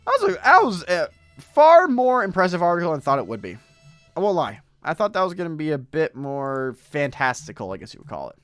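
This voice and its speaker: Brief: hoarse male stream host, lively pitch, dry close mic, faint music behind. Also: fairly quick, dry recording. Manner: rambling, talks to chat while browsing. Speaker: podcast host. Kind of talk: reading aloud and casual chat on a livestream.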